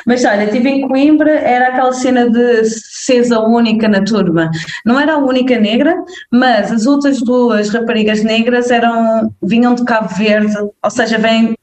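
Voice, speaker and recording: A young woman with a northern Portuguese accent, a guest talking casually in an interview, lively and full of fillers and quick checks with the listener. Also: a little high. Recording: roomy echo.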